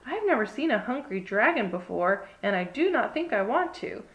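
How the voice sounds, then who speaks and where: A woman's voice: on the high side, very animated, moderate pace, highs cut off. A woman voicing a small, timid but proud furry monster with earnest, formal phrasing in a children's storybook. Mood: scared. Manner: formal phrasing without contractions. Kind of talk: read-aloud children's storybook.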